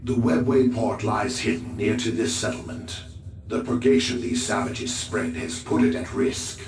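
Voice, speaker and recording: A man playing a solemn elven alien seer-commander in a video game, with formal, grave, measured delivery. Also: lowish voice, a little mellow, a little hiss or hum, close to an everyday voice. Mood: commanding. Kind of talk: video game cutscene acting. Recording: roomy, distant voice over game music.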